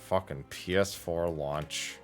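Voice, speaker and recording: A man's voice: deep voice, steady tone, takes it slowly, soft background music. A man livestreaming casual gaming chat in a blunt, opinionated way on a close microphone.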